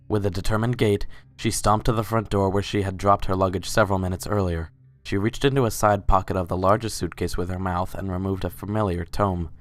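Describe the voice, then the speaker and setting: A man serves as narrator for a dramatic story reading. His voice is low, and faint music plays beneath the scripted narration.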